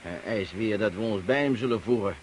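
Radio drama partisan leader, male actor: deep, gruff, shrewd, commanding, on a slightly noisy old recording. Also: fairly quick, lightly acted. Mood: irritated. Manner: commanding, emphatic, grumbling oaths.

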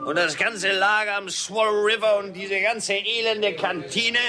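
A railroad labourer from a radio western. His voice sits fairly high for a man, with a gruff, familiar, grumbling manner. Music plays clearly behind him. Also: fairly even intonation, measured pace, bright, forceful tone, heavily acted. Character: grumbling male railroad labourer in a Wild West canteen. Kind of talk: German radio drama acting.

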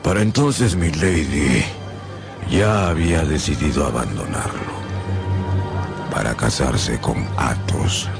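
A grim, grave executioner in a radio drama. His voice is rock-bottom deep and creaky, each phrase laid down slowly. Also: lively intonation, full character voice. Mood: grave, sombre. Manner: grave, slow, deliberate delivery. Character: grim executioner testifying about his dead brother. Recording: music behind the voice.

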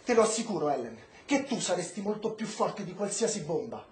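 An actor as an impassioned Cuban idealist in a stage-style radio drama, pleading and declamatory. The delivery swings widely in pitch, in an echoey room with noisy ambience. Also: big pitch swings, a little mellow, lightly acted. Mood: impassioned, urging. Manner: rhetorical, pleading, declamatory with weighty pauses. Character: impassioned Cuban man urging journalist Ellen to fight injustice.

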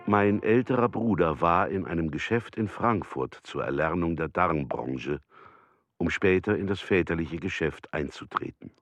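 An actor playing a formal German merchant in a documentary radio drama, reading out a letter in a measured, matter-of-fact way. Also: male voice, low-pitched, animated, fairly bright tone, no echo, faint music behind.

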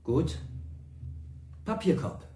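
An actor playing a young emperor bargaining like a wheedling nephew in a German radio play, in clipped statements, in an echoey room. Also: male voice, extremely slow, dark tone, a little hiss or hum. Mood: brisk, scheming.

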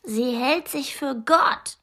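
A woman's voice: high-pitched, takes it slowly, sharp tone, heavily acted. A woman playing a defiant, morbid little girl in a German radio play, speaking in a solemn, contrary way. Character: defiant, morbid little sister in a wartime nursery.

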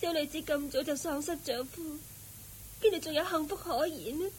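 An actress playing a sorrowful, humble young widow in a radio drama, plaintive and meek, with a Hong Kong Cantonese accent. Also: female voice, sits high, normal speed, slight background noise, close to an everyday voice. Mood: grieving, tearful. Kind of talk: Buddhist radio drama acting.

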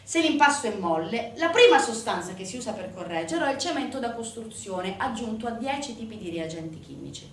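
Animated high-voiced woman performing a monologue. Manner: emphatic, direct address to listeners, rhetorical questions. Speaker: stage actress performing a monologue. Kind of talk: theatrical narrative monologue, civic storytelling to an audience. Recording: roomy, distant mic, faint background noise.

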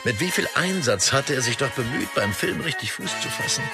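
Film director remembering stuff, gravelly, wistful, music behind, radio play. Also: male voice, mid-range voice, animated, fairly quick. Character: reflective male film director reading his memoir. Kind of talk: scripted memoir reading in radio drama.

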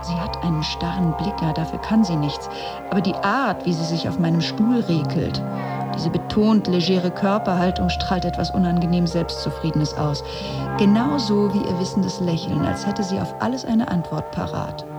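An actress as a sardonic, lowish-voiced woman. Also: normal speed, over loud music, natural voice. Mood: disdainful. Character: resentful, sardonic woman silently judging her visitors. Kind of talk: interior monologue in a radio play.

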